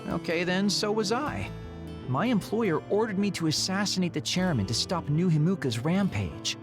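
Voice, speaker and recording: Game double agent: slightly high, swooping delivery, sly and flippant, music underneath. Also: male voice, expressive, normal speed. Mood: glib, smug. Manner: glib, teasing. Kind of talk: video game cutscene acting. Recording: music behind the voice.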